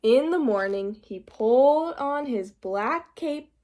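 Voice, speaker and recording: Slow-paced, higher-voiced woman reading to children. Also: expressive, mellow. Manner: prompting, teacherly. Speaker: read-aloud storyteller for young children. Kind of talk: storybook reading with questions to children.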